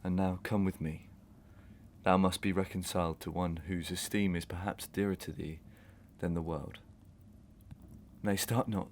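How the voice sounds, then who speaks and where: A man playing a cold, taunting murderous husband in a Victorian melodrama, speaking in measured, formal, archaic phrases. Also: low voice, level tone, slight background noise. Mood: commanding. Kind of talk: Victorian melodrama audio acting.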